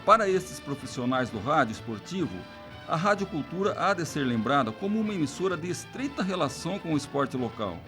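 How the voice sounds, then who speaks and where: A man's voice: on the high side, sing-song, brisk, fairly bright tone, faint music behind. A man with a Brazilian accent reading scripted documentary narration.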